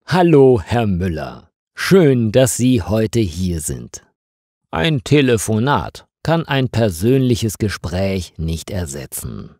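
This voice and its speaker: A man's voice: on the low side, very animated, slow, a little mellow, no room sound, clean studio sound, full character voice. A man voicing a polite, businesslike architect in a storybook, speaking formally and courteously to a client.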